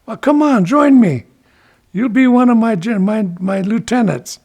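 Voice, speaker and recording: Man voicing eager, high-pitched would-be warrior Ichiko. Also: animated, measured pace, darkish tone. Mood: excited, coaxing. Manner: exclaiming, coaxing, bragging.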